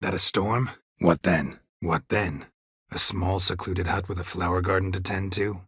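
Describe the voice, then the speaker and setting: An actor playing a gruff, stoic, sardonic middle-aged monster hunter in a video game, a gravelly rasp and terse, deadpan delivery. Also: male voice, low-pitched, a little slow, no room sound, clean studio sound, full character voice. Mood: measured, inquisitive. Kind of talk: video game character dialogue.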